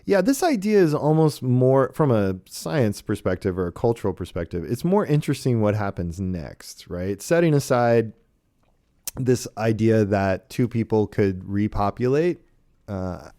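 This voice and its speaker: A man's voice: fairly low pitch, big pitch swings, measured pace, mellow, no echo, studio quality. A man hosting a casual podcast discussion, thinking aloud with hesitations and often checking in with "right". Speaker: podcast host. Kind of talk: casual podcast discussion of old radio shows.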